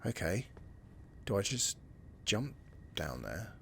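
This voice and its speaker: A man giving casual, excitable commentary while playing a video game and thinking aloud. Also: on the low side, slow pace, vocal fry, slight background noise. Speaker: gameplay streamer. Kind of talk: casual let's-play commentary.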